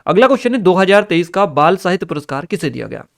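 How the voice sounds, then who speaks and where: A man's voice: high-pitched, very animated, fast talker, vocal fry. A man giving an exam-prep lesson, reading out questions and explaining the answers in an instructional way, checking in with listeners. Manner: instructional, reads notes aloud, checks in with listeners.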